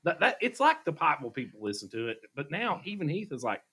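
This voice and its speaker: A man's voice: a little high, quick pace, husky. A man, co-hosting a podcast in casual chat, telling stories with lots of laughs. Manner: animated storyteller, laughs easily. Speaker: podcast co-host. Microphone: studio microphone.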